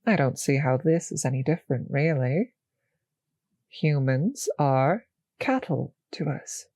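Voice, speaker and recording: An actress playing a possessive, condescending vampire mistress in an intimate roleplay audio drama, speaking in a breathy, husky, patronizing, savouring way. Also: female voice, low voice, animated, a little slow, mellow, no echo, clean studio sound, exaggerated voice. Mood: haughty, cold.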